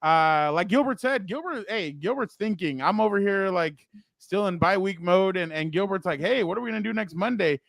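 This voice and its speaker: A man co-hosting a casual sports talk show, loud and rambling, often laughing and trailing off. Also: high voice, moderate pace, vocal fry, no echo. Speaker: co-host.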